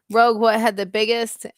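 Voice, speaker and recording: A woman's voice: a little high, no echo. Giggly woman hosting on a dry mic. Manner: giggly, thinks aloud with drawn-out hums. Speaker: host. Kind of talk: casual chat reading out party questions. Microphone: video call.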